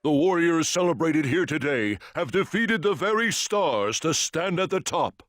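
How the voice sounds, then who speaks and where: Booming tournament announcer. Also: male voice, animated, unhurried, fairly bright tone, no room sound. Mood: proclaiming. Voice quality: booming, theatrical. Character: grandiose male tournament announcer, theatrical. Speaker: ceremony announcer.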